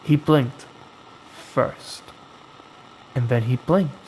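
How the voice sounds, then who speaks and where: A man narrating a scripted horror story as its storyteller, in a dramatic, suspenseful way with long pauses. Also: mid-range voice, a little mellow, slight background noise, low-quality recording. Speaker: storyteller, host of a horror anthology.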